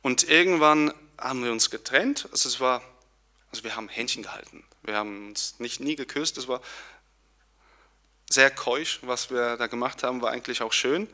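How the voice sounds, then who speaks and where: A young man from Poland, giving an earnest personal testimony with pauses and restarts. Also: medium pitch, bright, forceful tone. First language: Polish. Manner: earnest, searching, with fillers and restarts.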